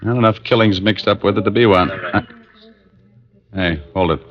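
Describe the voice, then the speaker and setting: Guy acting deep voiced noir detective, dry and deadpan, old muffled radio show. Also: moderate pace, close to an everyday voice. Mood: watchful. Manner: terse, wry, deadpan. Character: cool, dry, hard-boiled male private detective. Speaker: lead actor and narrator. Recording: dull vintage radio recording with music.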